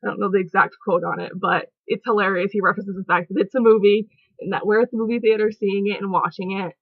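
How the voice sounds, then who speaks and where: Young woman, casual vlogger, giggly and rambling, mid-pitched, close dry lo-fi mic. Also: fairly even intonation, fast talker, no room sound. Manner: chatty, giggly, lots of "like" and "um". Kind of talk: casual movie review chat. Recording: dull lo-fi home recording.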